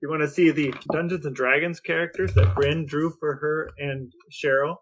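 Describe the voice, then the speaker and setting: A man's voice: fairly high pitch, steady tone, normal speed, background noise. A man co-hosting a podcast, chatting casually and posing questions to the people with him. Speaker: podcast co-host.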